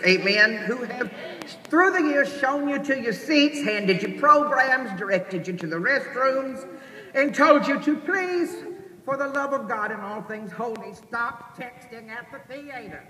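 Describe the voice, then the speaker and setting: A man's voice: very high-pitched, bright, forceful tone, exaggerated voice. A man playing a prim, scandalized church lady usheress, delivering a pompous mock-sermon comedy monologue as an awards host. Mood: pious, grandiose. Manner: pompous, slow and emphatic, punctuated with amens. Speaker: comic host of a theater awards show. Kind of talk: theatrical stand-up monologue in mock-sermon form. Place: large theater stage before an audience. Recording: echoey theater hall, distant noisy recording. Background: audience noise.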